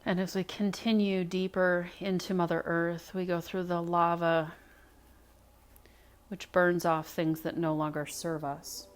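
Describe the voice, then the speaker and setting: A woman leading a guided meditation in a soothing, unhurried way, speaking phrase by phrase with pauses. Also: fairly low pitch, flat delivery. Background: music.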